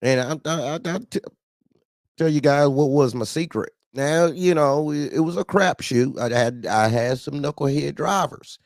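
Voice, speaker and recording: A man's voice: fairly high pitch, moderate pace, gravelly, dry recording, clean studio sound. A man hosting a casual call-in talk show, telling slangy anecdotes about trucking and acting out bits of dialogue. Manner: slangy, animated anecdote telling with acted-out quotes. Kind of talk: casual trucking chat and storytelling.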